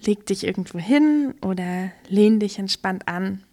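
A woman reciting scripted lines in an audio play, warm and inviting. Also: medium pitch, expressive, measured pace.

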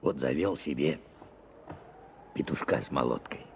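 Very deep, gravelly old peasant. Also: male voice, measured pace. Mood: weary, homely. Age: older. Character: weary old peasant forest watchman, lifelong bachelor, humble. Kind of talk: radio drama acting. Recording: clearly noisy radio play recording.

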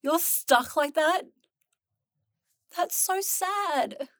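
A woman's voice: very high-pitched, very slow, no echo, clean studio sound, full character voice. A woman playing a blunt, jittery, sarcastic young girl in a fantasy audio drama, blurting things out informally. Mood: amused, curious.

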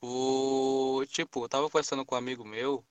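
A seventeen-year-old young man, hosting a casual podcast chat and telling rambling, animated stories full of slang. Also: medium pitch, normal speed, smooth. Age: teens. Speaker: podcast host.

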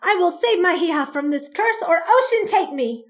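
A fierce mother lays down the law in an iron voice, as a woman reads her. Her tone is high and forceful. She is proud and unbending. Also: a little slow. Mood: stern.

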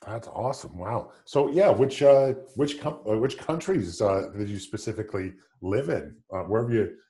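A man's voice: on the low side, normal speed, dark tone. A man hosting a podcast, reading a scripted opening, then asking upbeat questions full of fillers. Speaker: podcast host. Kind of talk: scripted intro then casual interview.

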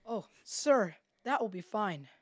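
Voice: medium pitch, expressive, takes it slowly, no room sound, studio quality. A young-sounding performer playing a desperate, polite husband in a children's Bible drama, pleading respectfully. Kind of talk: children's radio-play style Bible dramatization.

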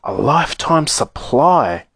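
A man's voice: fairly high pitch, very animated, slow, vocal fry, a bit bright, husky, no room sound, pitched above the performer's own voice, full character voice. A man voicing an envious, complaining boy in a children's audiobook. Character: jealous, angry children shouting in a crowd.

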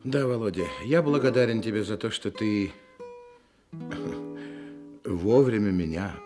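Low-voiced wry storyteller, guitar behind. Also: male voice, takes it slowly. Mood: grateful, amused. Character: composed doctor, reformed bandit, wry storyteller. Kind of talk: Soviet radio play acting.

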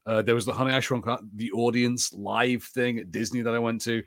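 A man's voice: medium pitch, fairly even intonation, fairly quick, a bit bright. A man co-hosting a podcast, chatting enthusiastically and nostalgically in casual watch-party commentary. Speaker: podcast co-host.

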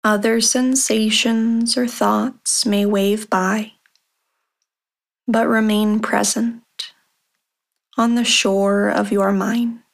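Slow, even-toned woman guiding meditation. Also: medium pitch, creaky. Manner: calm, unhurried, measured instructions with long pauses. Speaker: meditation guide, podcast host. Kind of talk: guided meditation, body scan.

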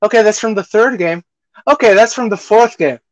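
Male podcast co-host, high-pitched voice, dry close sound, emphatic and opinionated in casual film chat. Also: fairly quick, no echo, highs cut off. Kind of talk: casual movie discussion.

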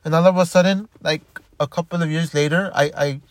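A man with a slightly high voice rambles through a casual monologue, stumbling over his words and starting sentences again. The sound is dry and close, with no room echo. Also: fairly even intonation, brisk. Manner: rambling, frequent restarts and repeated words. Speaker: commentator.

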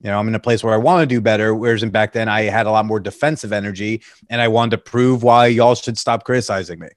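A man's voice: on the low side, fairly even intonation, fast, no echo, clean studio sound. A man in his thirties, a podcast guest, talking candidly and reflectively with a joking streak. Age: 30s. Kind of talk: casual interview chat.

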